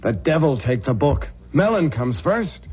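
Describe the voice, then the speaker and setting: A man's voice: mid-range voice, sing-song, unhurried, over loud music. A man playing a devoted, worried young husband, earnest and emphatic, in an old-time radio drama. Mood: pleading, worried. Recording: muffled, noisy old radio recording.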